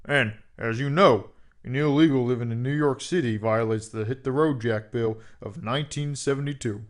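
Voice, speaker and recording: A man's voice: medium pitch, moderate pace, natural voice. A man playing a zealous, hawkish government adviser in a comedic audio drama, speaking in a formal, grave and insistent manner. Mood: eager, zealous.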